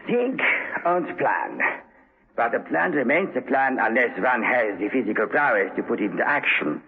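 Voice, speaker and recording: An actor playing a cultured, smug imprisoned villain in a 1970s radio drama, with measured, taunting politeness. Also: male voice, medium pitch, big pitch swings. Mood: smug, savoring. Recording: muffled husky 1970s radio recording.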